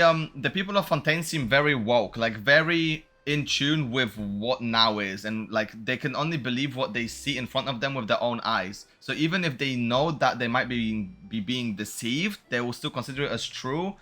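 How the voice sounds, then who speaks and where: A man's voice: mid-range voice, moderate pace, hardly any pauses, sharp tone. A man giving casual playthrough commentary as a game streamer, talking in an informal, slangy, rambling way. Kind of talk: casual playthrough commentary mixed with reading dialogue aloud.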